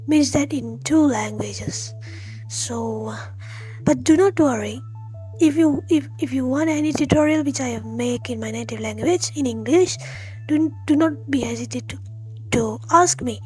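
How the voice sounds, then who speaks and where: A woman hosting a tech tutorial, explaining step by step in a halting, friendly way and speaking straight to her viewers. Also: high-pitched, darkish tone, husky. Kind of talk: spoken tutorial walkthrough.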